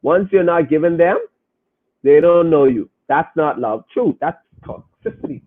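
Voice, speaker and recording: A man with a high voice hosts a podcast in a casual, preachy way, talking straight at his listeners. His voice comes through thin and narrow, as if over a phone line. He stresses his points hard. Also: measured pace. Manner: emphatic, preachy, addresses listeners directly. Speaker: podcast host. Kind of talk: casual commentary, reading listener comments.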